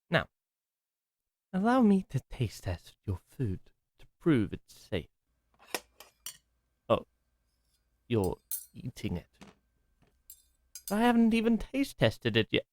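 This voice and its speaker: A man voicing a gentle, timid masked servant to a cruel duke, speaking softly, formally and with hesitation. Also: on the high side, dry recording, studio quality, exaggerated voice. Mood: calm, then touched. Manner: soft, hesitant, formal and polite. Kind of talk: ASMR roleplay acting.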